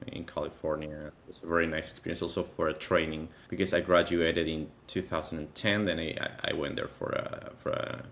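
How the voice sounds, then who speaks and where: Male, 30s, Venezuelan accent, native Spanish speaker, deep register, halting conversational interview delivery with repeated phrases. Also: a bit bright, slight background noise. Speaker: interview guest, content creator.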